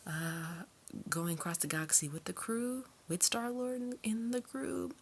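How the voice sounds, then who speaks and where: A woman's voice: on the high side, flat delivery, measured pace, slight background noise. A woman giving a casual, conversational movie review in an informal, rambling way, recorded at home. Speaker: video reviewer.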